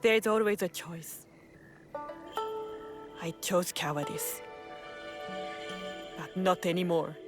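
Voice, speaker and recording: A young village woman from a historical game drama, burdened by guilt yet resolute. She speaks slowly and earnestly, confiding with some hesitation. Music is clearly present behind her. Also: lowish voice. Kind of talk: dramatic game cutscene acting.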